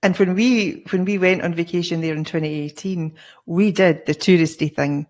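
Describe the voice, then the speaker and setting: A woman's voice: lowish voice, fairly even intonation, moderate pace, a little mellow. A middle-aged Scottish woman in casual conversation, telling travel stories in a chatty way. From Scotland. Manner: chatty, storytelling. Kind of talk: casual chat.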